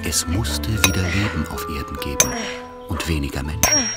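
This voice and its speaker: A grave storyteller with a deep voice. He narrates a literary radio drama in measured, intimate, intense phrases, with loud music playing underneath. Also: lively intonation. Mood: fervent. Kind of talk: scripted literary narration in a German radio play.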